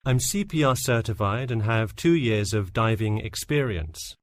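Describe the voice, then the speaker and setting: A man's voice: on the low side, lively intonation, normal speed, natural voice. A man playing an eager, agreeable lifeguard applicant answering questions in a scripted interview. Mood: confident, agreeable. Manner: agreeable, casual, cooperative. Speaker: job applicant. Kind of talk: scripted listening-test dialogue.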